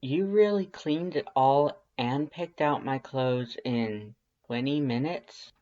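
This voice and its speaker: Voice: medium pitch, slow, mellow, dull audio, lightly acted. A reader voicing a reluctant, wry young human who grumbles plaintively in fan fiction dialogue. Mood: pleased surprise.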